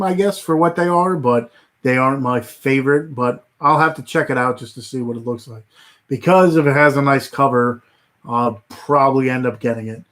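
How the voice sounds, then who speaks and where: A man chatting on a casual livestream at an ordinary pitch, drifting from thought to thought with plenty of fillers and false starts. His voice is wearing thin and turning hoarse. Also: fairly bright tone. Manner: rambling, many fillers and restarts. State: voice getting hoarse. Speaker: livestream host.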